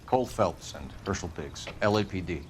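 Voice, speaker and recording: Low-voiced, formal American police detective played by an actor. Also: male voice, moderate pace, lightly acted. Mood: formal, probing. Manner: clipped, formal, official. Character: male 1940s Los Angeles police detective, formal and probing. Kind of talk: video game dialogue, questioning witnesses. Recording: music behind the voice.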